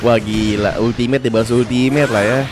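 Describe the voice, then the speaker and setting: A young man with a stuffy, blocked nose giving excitable, slangy play-by-play of a fighting game and talking straight to his viewers. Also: mid-range voice, a little fast. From Indonesia. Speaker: gaming commentator. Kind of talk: casual gameplay commentary. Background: game music.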